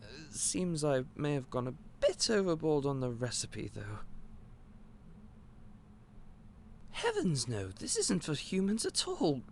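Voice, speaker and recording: An actor playing a gentle, clumsy candy shop owner who stammers and speaks in a polite, old-fashioned way. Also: male voice, fairly high pitch, huge pitch swings, moderate pace, creaky, slight background noise, exaggerated voice. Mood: flustered. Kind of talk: audio roleplay acting.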